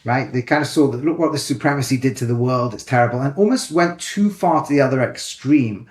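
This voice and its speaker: A man with a light Manchester accent, a rabbi and educator, explaining his views in a thoughtful, animated conversational way. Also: medium pitch, brisk, roomy sound. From England.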